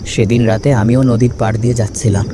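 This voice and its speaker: A man's voice: mid-range voice, level tone, a little fast, background noise, lightly acted. A man playing a fearful village gossip in a ghost story, recounting rumours in an emphatic, conspiratorial way.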